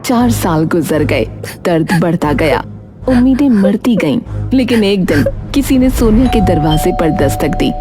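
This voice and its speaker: A woman's voice: fairly low pitch, expressive, a little slow, music in the background. A woman delivering scripted storytelling narration for a cartoon tale.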